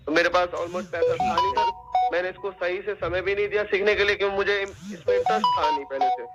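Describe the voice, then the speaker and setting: A man on a recorded phone call making a complaint, recounting his account in a deferential, repetitive, halting way. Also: high voice, steady tone, over loud music.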